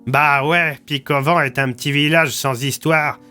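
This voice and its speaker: A man's voice: on the high side, fairly even intonation, measured pace, over loud music, voice pushed up, lightly acted. A man playing a blunt, slangy small-town police commissioner recounting his survival in an audio story. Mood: grim recounting.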